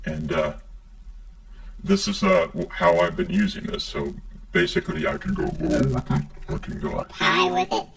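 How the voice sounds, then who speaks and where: A man's voice: huge pitch swings, normal speed, creaky, fairly bright tone, recorded from a distance, full character voice, rough recording. A man voicing a modulated, Darth Vader-like voice through a real-time voice changer and throat microphone. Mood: demonstrating. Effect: real-time voice changer, pitch shifted and modulated. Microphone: throat microphone through phone app and speaker.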